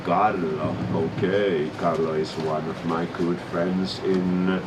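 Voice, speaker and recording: A man with a Finnish accent speaks English very slowly as Santa Claus, often pausing with fillers. His voice sits low. Steady noise from the room runs behind him. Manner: slow, hesitant, with many fillers. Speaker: man in a Santa Claus role greeting visitors. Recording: noisy room ambience.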